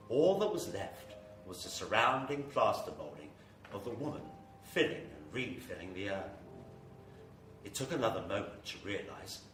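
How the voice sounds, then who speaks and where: Guy doing a grave horror radio narrator, forceful n theatrical, echoey room, bit of noise. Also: fairly low pitch. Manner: theatrical, suspenseful, building to intensity. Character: grave, ominous male horror story narrator. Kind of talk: scripted dramatic horror narration. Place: live performance venue with audience. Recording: echoey live hall, distant mic, faint noise.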